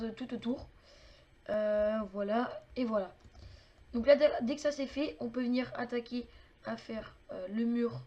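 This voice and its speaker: Chatty high-voiced woman explaining a game build. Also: a little mellow, highs cut off. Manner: chatty, many fillers and clicking sounds. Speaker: game tutorial maker. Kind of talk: casual game tutorial narration.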